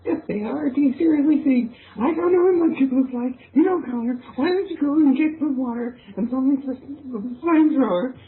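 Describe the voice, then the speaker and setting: A woman voicing a bossy, exasperated young inventor in an amateur fan fiction reading, halting and irritated. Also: sits high, voice pushed up, lightly acted. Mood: bossy, annoyed. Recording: tinny, band-limited amateur recording.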